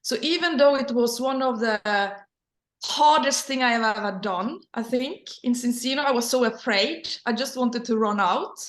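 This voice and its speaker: A middle-aged woman whose native language is Norwegian, speaking English with a Norwegian accent in casual personal storytelling, sometimes halting as she searches for words. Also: on the high side. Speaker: interview guest, company leader. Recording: roomy echo.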